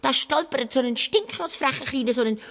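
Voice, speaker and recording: A woman's voice: sits high, brisk, fairly bright tone. A woman with a Swiss German accent playing a cheeky, gluttonous, indignant church mouse in a children's radio play, exclaiming theatrically. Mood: indignant, scoffing. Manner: exclamatory, theatrical, piling up colourful words. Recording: long reverb of a big hall.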